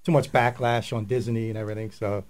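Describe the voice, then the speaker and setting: A man's voice: fairly low pitch, level tone, fast talker, a little mellow. A man talking casually as a panelist on a radio show.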